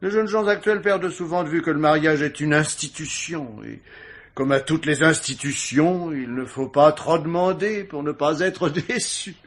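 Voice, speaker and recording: An actor playing an urbane, witty, self-absorbed older gentleman of leisure in a radio mystery, speaking with mannered, ironic asides. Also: fairly high pitch, normal speed, lightly acted. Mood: philosophical, cynical. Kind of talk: vintage French radio mystery acting.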